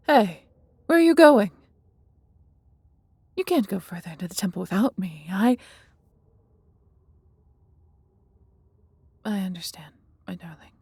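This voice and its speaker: Breathy, husky woman as a devoted dragon. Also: on the low side, animated, moderate pace, pauses often, darkish tone, dry recording, exaggerated voice. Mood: anxious, pleading. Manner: tender, theatrical, old-fashioned formal phrasing. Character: devoted, protective, proud injured female dragon, huge. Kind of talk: dramatic fantasy audio roleplay to the listener.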